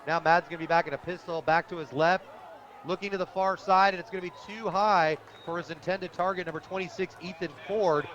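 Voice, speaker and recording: A man's voice: sits high, steady tone, fairly quick, a bit bright, a little hiss or hum. An American man working as a radio play-by-play announcer, giving enthusiastic, descriptive live football commentary outdoors at a stadium. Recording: dull lo-fi outdoor radio broadcast.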